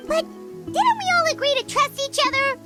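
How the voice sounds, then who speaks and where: Tiny squeaky fairy companion, very high. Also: female voice, lively intonation, moderate pace. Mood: hesitant. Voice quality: squeaky, childlike. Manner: exclamatory, refers to self in third person. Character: small floating childlike fairy companion, excitable and timid. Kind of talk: animated video game voice acting. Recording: loud game music behind.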